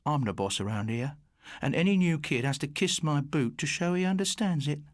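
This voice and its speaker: A man's voice: a little high, expressive, normal speed, no echo, studio quality, natural voice. A man voicing a sneering, bossy schoolboy bully in an audiobook ghost story. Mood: menacing. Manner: taunting, bossy. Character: cocky sneering schoolboy bully, mockingly jovial.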